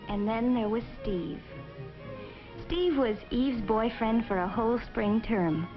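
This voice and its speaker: A woman's voice: fairly high pitch, slow pace, faint music behind. An actress playing a young college woman who narrates reflectively in a 1950s educational film. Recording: muffled, hissy 1950s film soundtrack.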